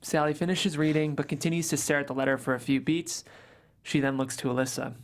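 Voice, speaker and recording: A reader calmly reading stage directions at a script table read. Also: mid-range voice, fast.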